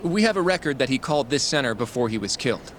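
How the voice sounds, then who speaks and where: A man's voice: mid-range voice, expressive, fast, a bit bright, slight background noise, lightly acted. An actor voicing an earnest, polite young male legal associate in a game cutscene, eager and a little anxious. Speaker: law office assistant.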